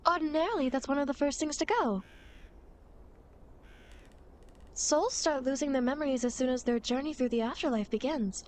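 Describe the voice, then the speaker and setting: A woman's voice: high-pitched, fast, lightly acted. A woman playing a playful, teasing demon girl who guides dead souls, in a coy, dramatic ASMR roleplay. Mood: puzzled, explaining. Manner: coy, mischievous, mock-sympathetic, dramatic. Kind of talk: ASMR roleplay, acted monologue to the listener.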